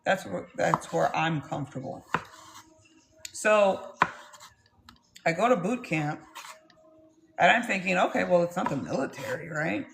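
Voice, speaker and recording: A woman's voice: lowish voice, animated, unhurried, vocal fry, pauses often, background noise. A woman, telling her life story to camera in a blunt, rambling way and swearing freely. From Texas, US. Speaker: vlogger.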